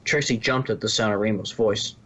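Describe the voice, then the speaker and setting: A man's voice: lowish voice, steady tone, fairly quick, a bit bright. A man reading story narration from a script, now and then stumbling and rereading a phrase. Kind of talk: scripted fan fiction narration.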